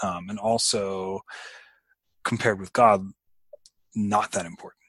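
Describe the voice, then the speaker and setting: A man with a fairly low voice hosts a casual literary discussion. He feels his way through ideas haltingly and often trails off. The sound is dry and close. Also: fairly even intonation, a bit bright, slightly rough, no room sound. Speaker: host of a book discussion.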